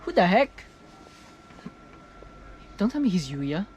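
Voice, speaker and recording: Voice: mid-range voice, very animated, slow, dull audio, a little hiss or hum. A streamer giving casual reaction commentary while playing a video game. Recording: loud game music behind. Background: video game music and dialogue.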